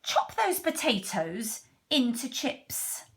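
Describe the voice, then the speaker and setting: A woman's voice: on the high side, sing-song, slow, a bit bright, voice pushed up, heavily acted. A woman playing a hungry, bossy but helpful storybook king who declares things with stretched-out, emphatic words. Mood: commanding, helpful. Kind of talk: children's storybook read-aloud.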